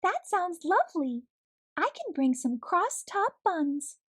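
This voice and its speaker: Woman doing a tiny, very high girl mouse. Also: sing-song, takes it slowly, fairly bright tone, exaggerated voice. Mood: pleased. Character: small gray female mouse, dainty, chatty, easily startled.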